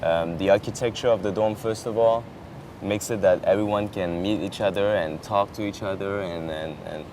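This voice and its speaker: A young man, French by birth, talks easily on a campus lawn in a slightly low voice, stumbling through fillers and restarting his sentences. Traffic hums clearly in the background, picked up by a camera set some distance away. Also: steady tone, normal speed. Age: young adult. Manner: chatty, many fillers and restarts. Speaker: exchange student. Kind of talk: casual chat. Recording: outdoor traffic noise behind.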